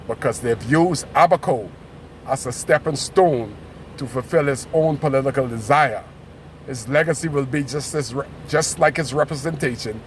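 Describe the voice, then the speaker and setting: Indignant Bahamian man, noisy recording. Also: mid-range voice. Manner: indignant, emphatic, repetitive. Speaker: local resident speaking out. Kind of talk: impassioned political commentary to camera. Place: outdoors at a graveyard.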